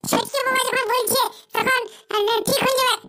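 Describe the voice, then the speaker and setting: Cartoon young wife: extremely high, dry-recorded voice, coaxing and sly. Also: steady tone, normal speed, bright, forceful tone, dry recording, exaggerated voice. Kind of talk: comic cartoon dubbing.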